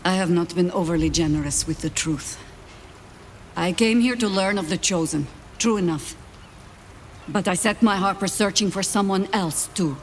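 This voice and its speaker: A woman playing an older, world-weary warrior leader, with a measured, dry, sardonic manner in a fantasy game drama. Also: on the low side, a little hiss or hum, natural voice. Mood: wry, confessional. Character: wry, world-weary old female warrior, pragmatic leader.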